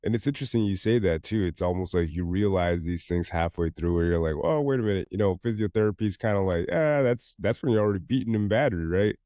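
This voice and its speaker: A man's voice: deep voice, quick pace, hardly any pauses, dark tone, dry recording. A male podcast host with a cold and a slightly nasal voice, talking casually and calling his guest bro. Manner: casual, uses "bro" and "you know". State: congested with a cold. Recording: dull, lo-fi podcast audio.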